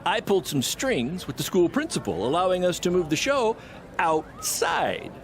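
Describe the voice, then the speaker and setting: A scheming, upbeat wrestling promoter pitches like a born salesman. His delivery is lively and swooping, sitting on the high side. It is theatrical video game acting, with faint noise behind it. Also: moderate pace. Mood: boastful. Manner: salesman-like, self-satisfied, theatrical. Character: brash, scheming indie wrestling promoter, relentlessly upbeat hype man.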